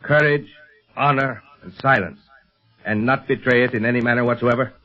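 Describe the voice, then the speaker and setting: An American actor playing an earnest, commanding secret police chief in a 1930s radio serial, formal and deliberate in delivery. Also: male voice, mid-range voice, lightly acted. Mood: solemn. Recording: dull, band-limited 1930s radio recording.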